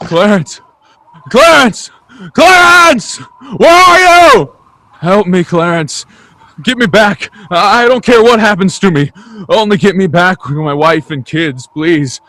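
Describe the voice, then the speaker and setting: A man's voice: sits high, animated, slow pace, sharp tone, dry recording, exaggerated voice. An actor playing an emotional, fervent family man in an old-time radio drama, stammering and exclaiming sincerely. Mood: desperate pleading.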